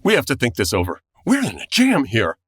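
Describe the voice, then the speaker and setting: Man playing an anxious, wildly animated radio husband. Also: medium pitch, fairly quick. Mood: worried, sardonic. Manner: exasperated, dramatic. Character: anxious, squeamish, sarcastic husband on the run. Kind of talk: old-time radio thriller parody acting.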